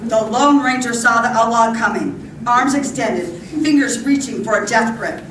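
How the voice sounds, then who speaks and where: A woman's voice: on the high side, moderate pace, slight background noise. A woman narrator reading an old-time radio adventure in a theatrical, dramatic style. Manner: theatrical, occasional stumbles in reading. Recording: roomy distant stage pickup, loud music.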